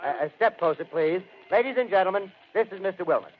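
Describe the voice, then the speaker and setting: A man's voice: high-pitched, lively intonation, fast talker, soft background music. An actor playing an earnest radio field reporter in a 1930s drama, formal and now and then hesitant as he searches for words. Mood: hurried, insistent. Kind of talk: 1930s radio drama acting as live commentary. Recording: tinny, noisy old 1930s radio broadcast.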